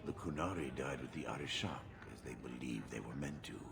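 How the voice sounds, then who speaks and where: Extremely deep gravelly stoic giant mercenary. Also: male voice, crowd noise. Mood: stoic, detached. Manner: terse, measured, deadpan. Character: stoic horned giant Qunari mercenary man. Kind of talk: video game character acting.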